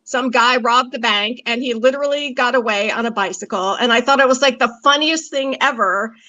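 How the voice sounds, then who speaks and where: A woman with a fairly high voice answers questions in a chatty, informal style. She is on a video call, with a very dry sound free of room echo. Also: fairly quick, bright, forceful tone. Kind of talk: casual, conversational answers in an online discussion.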